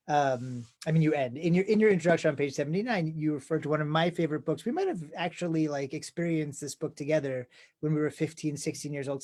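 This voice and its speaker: A man's voice: on the high side, a little mellow. A man in his forties, a rabbi interviewing a colleague, talking thoughtfully and often restarting his sentences. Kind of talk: conversational interview.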